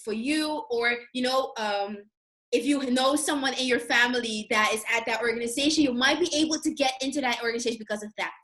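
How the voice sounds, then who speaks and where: A youngish woman speaks in a fairly high voice. She chats casually and openly, like a guest swapping experiences with a friend. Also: fairly quick, fairly bright tone, rough recording. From Curacao, in the Caribbean. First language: not Dutch, a language of Curacao. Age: 20s-30s. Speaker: guest sharing career experiences. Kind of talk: casual conversational discussion.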